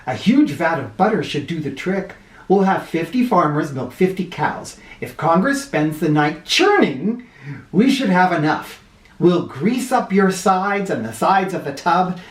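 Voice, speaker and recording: A woman's voice: on the low side, very animated, unhurried, pitched above the performer's own voice. A woman voicing an earnest, confident man who is an agriculture secretary, in a children's storybook. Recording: roomy, somewhat far from mic.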